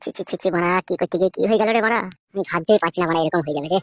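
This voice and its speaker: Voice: medium pitch, rapid-fire, no echo, faint music behind, lightly acted. A performer voicing an anxious, cowardly village man in a comic cartoon, whiny, fretful and crude. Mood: worried, reluctant. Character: anxious, cowardly male village lover, whining and cursing.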